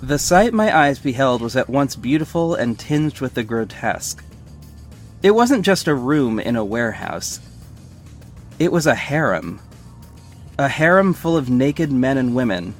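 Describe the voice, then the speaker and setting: A man's voice: mid-range voice, big pitch swings, measured pace. A man playing a wry young superhero who narrates his own mission in scripted first person. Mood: measured, descriptive. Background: music bed.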